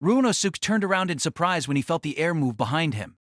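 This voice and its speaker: A man reads a dramatic novel as narrator, his fairly high voice moving through wide swings of pitch. The sound is very dry, and the delivery is scripted audio drama storytelling. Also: animated, brisk, fairly bright tone, no room sound.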